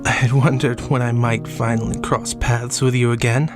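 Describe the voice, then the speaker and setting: An actor playing a sickly, frail man who seems ancient, coughing and speaking with slow, quiet menace. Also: mid-range voice, little pitch movement, over music, close to an everyday voice. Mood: quietly menacing. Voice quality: coughing, weak, breathy. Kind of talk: audio drama acting.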